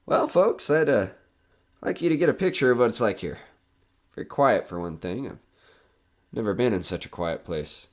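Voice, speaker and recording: A man's voice: on the low side, expressive, moderate pace, pauses often, lightly acted. A man playing a nervous, skeptical broadcaster alone in a haunted house in an audio horror drama, rambling and joking anxiously. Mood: nervous, chatty.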